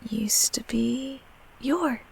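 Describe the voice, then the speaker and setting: A woman voicing a gentle, bashful closet creature in a comfort audio roleplay, speaking softly and breathily, half in whispers, with tender, hesitant reassurance. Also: on the high side, drawn-out words, vocal fry, slight background noise, heavily acted. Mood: bashful, reassuring.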